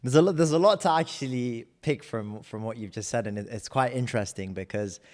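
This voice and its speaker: A man's voice: medium pitch, a little fast, a little mellow. A man hosting a podcast interview, asking questions enthusiastically and often repeating words as he starts a sentence. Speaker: podcast host. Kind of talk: interview questions, casual chat. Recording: long hall reverb.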